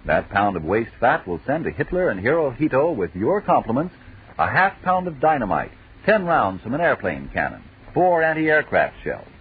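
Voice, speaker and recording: A man's voice: mid-range voice, sing-song, moderate pace, slight background noise. An American man working as a 1940s radio announcer, with a polished, emphatic sales delivery. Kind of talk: 1940s radio advertising and banter. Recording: muffled, phone-like 1940s radio recording.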